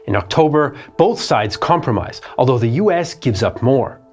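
A man's voice: medium pitch, lively intonation, normal speed, a little mellow, husky, soft background music. A man in his thirties, host of a history channel, delivering scripted documentary narration in a studio. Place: dark studio set.

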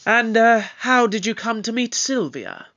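A man acts out an eccentric, authoritative Doctor in an audio drama. The voice is high and bright, with formal, theatrical diction as he takes charge of an inquiry, on a slightly dull recording. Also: lively intonation, a little slow, exaggerated voice. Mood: probing. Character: eccentric, commanding alien Doctor leading a murder inquiry. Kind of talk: scripted audio drama acting.

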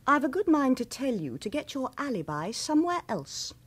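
A husky woman, teasing and familiar, in a radio crime drama. Her voice sits fairly high and moves with very lively intonation. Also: normal speed, exaggerated voice. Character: teasing, hospitable woman friend of a hitman. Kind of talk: vintage radio drama acting.

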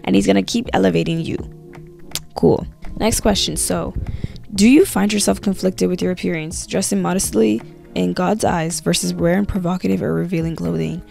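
A young woman with a cold chatting casually to camera about her faith, talking lively with her hands into a handheld mic in a small bedroom at night. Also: lowish voice, normal speed, vocal fry, faint music behind. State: has a cold.

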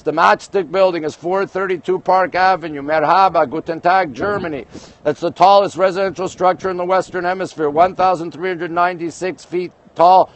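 A man from Montreal, Canada hosting a casual livestream from a high-rise balcony at night, greeting viewers by place and reading comments aloud. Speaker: livestream host. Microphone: phone camera held by speaker.

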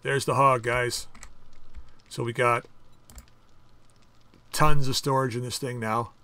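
A man hosting a casual game playthrough, chatting and thinking aloud as he crafts items, with his voice going a bit hoarse. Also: medium pitch, level tone, slow, bright, forceful tone. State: losing his voice. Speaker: gaming channel host. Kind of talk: casual let's-play commentary.

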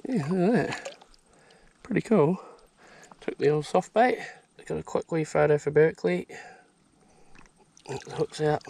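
A young man with a New Zealand accent giving excited, slangy running commentary while fishing outdoors at a pond, recorded on an action camera. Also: a little high, gravelly. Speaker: fishing vlogger. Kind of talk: casual outdoor vlog commentary. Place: outdoors by a high country pond. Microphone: action camera mounted on the body.

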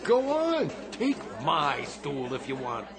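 An actor playing a loud, gullible, easily alarmed barfly with an exclamatory, colloquial manner in a radio drama. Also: male voice, a little high, huge pitch swings, slow, a crowd in the background, full character voice. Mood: awestruck.

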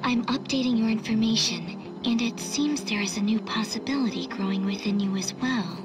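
A woman voicing a cool, analytical artificial intelligence girl in a video game, speaking in a measured, formal, detached way. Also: a little high, music in the background, natural voice. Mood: cool, informative.

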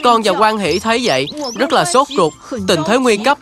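A woman's voice: mid-range voice, animated, moderate pace, over music, lightly acted. Woman voicing an earnest little boy monk. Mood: worried. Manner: earnest, respectful. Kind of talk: dubbed cartoon acting.

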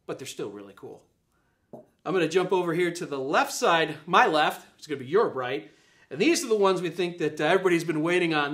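A man's voice: a little high, fairly bright tone. Upbeat man, salesy demo. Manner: upbeat salesy, filler-heavy, repeats points. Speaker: product presenter. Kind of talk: live product demo. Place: office room with a display table.

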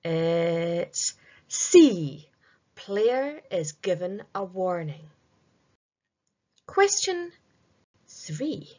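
A middle-aged woman reading a children's multiple-choice quiz aloud in a deliberate, sing-song way with pauses. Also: lowish voice, very animated, mellow, lo-fi sound. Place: small room indoors.

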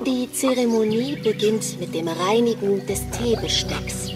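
A woman's voice: fairly high pitch, unhurried, close to an everyday voice. An actress playing a serene, graceful female alien android ambassador in a radio drama, speaking in a ceremonial, gracious manner. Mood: gracious, calm. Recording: music behind the voice.

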